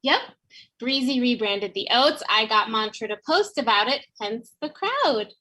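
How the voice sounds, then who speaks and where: A woman's voice: a little high, lively intonation, measured pace, pushed and bright. A woman playing a wry, skeptical female co-op worker in a comedy table read. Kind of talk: virtual table read of a sitcom script.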